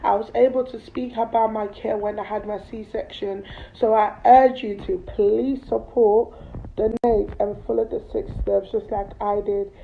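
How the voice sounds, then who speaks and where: A woman's voice: medium pitch, little pitch movement, a little slow, gravelly, phone-quality sound. A woman, a mother and campaign supporter, giving a sincere short appeal urging people to donate.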